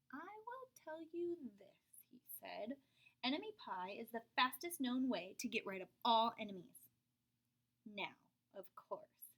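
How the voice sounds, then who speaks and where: A woman reading a children's picture book aloud, expressive, with dramatic whispers and sudden jumps in pitch. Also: breathy edge, poor audio.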